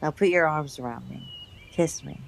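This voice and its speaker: Actress as a daring young woman, brisk, commanding, teasing, secretive, radio drama. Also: sits low, vocal fry, a little hiss or hum. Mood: teasing, intimate. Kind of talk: radio play acting.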